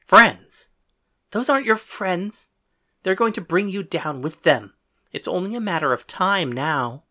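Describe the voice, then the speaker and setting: A woman's voice: lowish voice, expressive, measured pace, sharp tone, heavily acted. A woman voicing a defiant, exasperated mayor and husband with an indignant, blustering manner in an audiobook. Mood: defiant, angry. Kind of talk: audiobook character dialogue.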